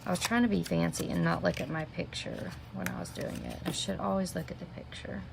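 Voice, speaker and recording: A middle-aged woman walks viewers through a craft project, her low voice heavily gravelly and a little stuffy from a cold. She chats casually and greets viewers by name as she works. A steady noise sits under the camera-mic recording. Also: brisk. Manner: chatty, step-by-step, talks to viewers by name. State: sniffling with a minor cold. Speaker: stamping craft demonstrator. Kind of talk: live craft tutorial. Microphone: camera mic at desk. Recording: noticeable background noise.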